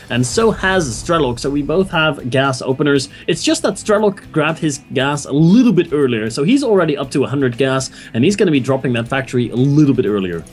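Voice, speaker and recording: A man casting a video game match, introducing players and thinking aloud about strategy with easy enthusiasm. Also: medium pitch. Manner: excitable, laughs often, thinks aloud. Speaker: esports caster. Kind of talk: esports play-by-play commentary.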